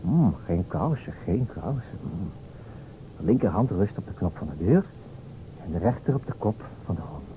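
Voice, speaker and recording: A man's voice: sits low, big pitch swings, normal speed. An actor playing a playful, musing man who improvises scenes for a detective game in an old radio play, speaking hesitantly. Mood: dreamy, admiring. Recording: dull old radio recording, noisy.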